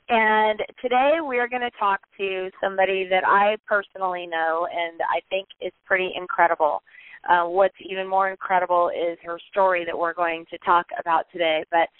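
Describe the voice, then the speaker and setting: A woman's voice: medium pitch, no room sound. A woman hosting a podcast interview, upbeat and enthusiastic as she introduces her guest. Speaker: podcast host. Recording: tinny phone-like line, dry.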